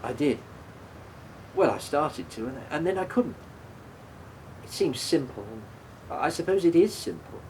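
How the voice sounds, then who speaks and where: A man's voice: on the high side, very animated, measured pace, pauses often, a little mellow, a little hiss or hum, rough recording. An actor in a radio play voicing a guilt-ridden, apologetic man who stammers and hesitates as he speaks. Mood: regretful, confessional.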